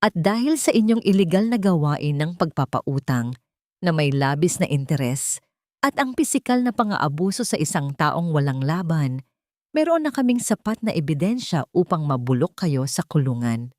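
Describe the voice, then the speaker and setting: A woman plays a grave Filipino male lawyer in an audio drama story. The delivery is formal, cold and measured, in a very dry recording. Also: sits low, big pitch swings, darkish tone, no echo. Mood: cold, stern.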